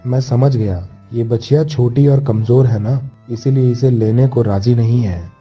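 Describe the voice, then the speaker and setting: A man's voice: medium pitch, steady tone, unhurried, slightly rough. A man acts as a patient, caring village husband in a storybook cartoon. He sounds earnest and reassuring, on a slightly dull recording with music underneath. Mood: easygoing, earnest. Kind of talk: animated bedtime story voice acting.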